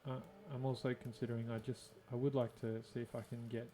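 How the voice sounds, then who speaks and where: A man's voice: medium pitch, level tone, fairly quick, warm tone, a little hiss or hum. An Australian man giving casual gameplay commentary, musing and thinking aloud to himself. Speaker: gaming video host.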